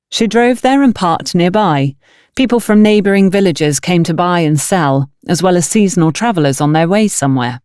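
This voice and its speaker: A woman narrating a scripted novel as an audiobook, in a measured, articulate storytelling manner. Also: fairly low pitch, darkish tone, dry recording, clean studio sound.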